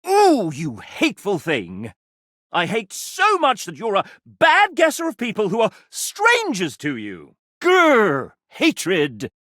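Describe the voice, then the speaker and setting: A vain, flamboyant gentleman mascot from a video game, sassy and grandiose. The voice leaps wildly up and down, takes its time over flowery phrases, and has a husky edge, recorded very dry and close. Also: high-pitched, huge pitch swings, takes it slowly, dry recording, exaggerated voice. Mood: mock hatred. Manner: pompous, flamboyant, old-fashioned gentlemanly phrasing. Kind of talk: video game character dialogue.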